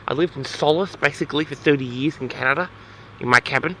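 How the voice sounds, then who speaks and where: A man's voice: on the high side, fast talker, sharp tone, a little hiss or hum, rough recording. A man telling a story casually as host of an audio log, rambling in an awkward, flustered, exasperated way.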